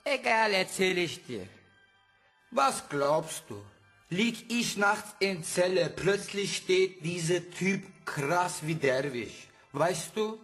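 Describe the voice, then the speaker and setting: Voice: mid-range voice, animated, slow pace, fairly bright tone, faint music behind. An actor playing a tough but friendly street boy who talks in blunt, clipped urban slang in an audio drama. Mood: brash, chummy.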